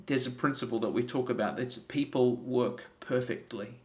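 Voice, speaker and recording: A man's voice: mid-range voice, fairly even intonation, normal speed, darkish tone. Earnest man in his forties. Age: 40s. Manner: earnest, repeats words, many restarts. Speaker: health vlogger. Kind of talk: live-streamed motivational talk.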